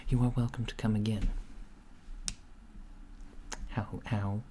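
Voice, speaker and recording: A man doing soft-spoken ASMR roleplay as a polite, curious alien clerk, hesitant and apologetic, often slipping toward a whisper. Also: lowish voice, little pitch movement, takes it slowly. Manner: hesitant, apologetic, earnest questioning, drifting into whispers. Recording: noisy close recording with keyboard typing. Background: computer keyboard typing.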